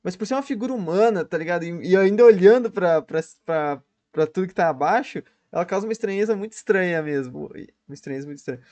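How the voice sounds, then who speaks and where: A man's voice: sits high, animated, fast talker, hardly any pauses, a little mellow, dull audio. A Brazilian man with a Brazilian Portuguese accent, chatting casually as a reaction streamer, slangy and restarting his sentences often.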